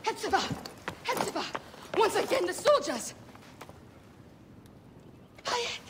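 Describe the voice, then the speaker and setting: A woman's voice: extremely high pitch, big pitch swings, takes it slowly, a little hiss or hum, heavily acted. A fussing motherly healer. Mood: worried. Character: caring motherly woman healer.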